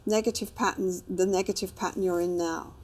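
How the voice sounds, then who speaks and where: A woman's voice: on the low side, fairly even intonation, fairly quick, a little mellow. A woman working as a couples therapist in a session, probing with questions, paraphrasing and checking in.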